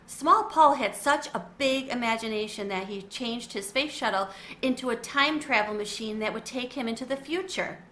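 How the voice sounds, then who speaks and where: A woman reading a children's chapter book aloud with expressive, animated storytelling. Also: on the high side, moderate pace. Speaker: storybook reader. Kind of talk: children's read-aloud narration.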